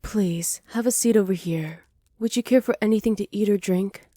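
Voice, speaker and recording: A woman playing a flirty, hospitable witch in an ASMR roleplay, soft-spoken and teasing, sly and self-assured, sometimes dropping toward a whisper. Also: medium pitch, moderate pace, dry recording, lightly acted. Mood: welcoming. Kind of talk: ASMR roleplay, slow scripted acting.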